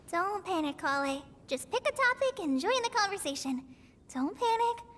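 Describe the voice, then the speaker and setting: Anxious, high-voiced teenage girl. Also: very animated, unhurried, fairly bright tone, slight background noise, exaggerated voice. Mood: nervous, flustered. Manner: hesitant, earnest, fretful. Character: anxious, self-doubting teenage girl forest ranger trainee. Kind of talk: video game voice acting.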